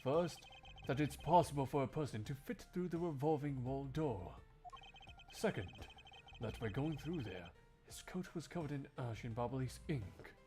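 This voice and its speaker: A man playing a cool, cerebral investigator with a measured, formal, confident delivery in a game fandub. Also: medium pitch, lively intonation, mellow, natural voice. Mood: composed, pointed. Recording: music behind the voice.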